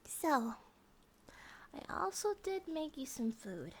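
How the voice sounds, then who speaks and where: A woman voicing a gentle, affectionate monster-girl bear wife in a soft ASMR comfort roleplay, often dropping to a whisper. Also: high-pitched, sing-song, slow pace, a little hiss or hum, full character voice. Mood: tender, caring.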